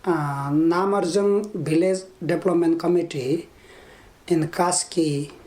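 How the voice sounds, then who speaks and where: A man in his fifties from Nepal with a Nepali accent, giving an oral history interview in a measured, halting way. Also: fairly high pitch, a little mellow. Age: 50s. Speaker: interviewee, army veteran. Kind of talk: oral history interview, recounting own life.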